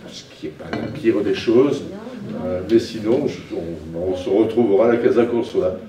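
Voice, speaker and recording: A man's voice: on the low side, moderate pace, a crowd in the background. An older man hosting a public debate, speaking with many hesitations and fillers while standing. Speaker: event host. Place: small lecture room. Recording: roomy and distant.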